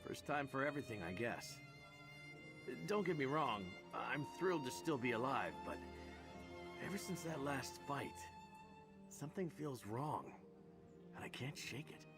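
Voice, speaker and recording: A roguish fantasy game knight, heroic yet wry. He speaks conversationally in a mid-pitched voice over clearly audible music. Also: sing-song, measured pace, long pauses. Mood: earnest, uneasy. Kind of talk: scripted game dialogue.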